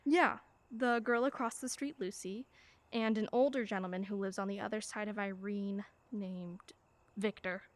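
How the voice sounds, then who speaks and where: A woman playing a single mother in a radio play, teasing and gently probing her daughter. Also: mid-range voice, steady tone, normal speed, lightly acted.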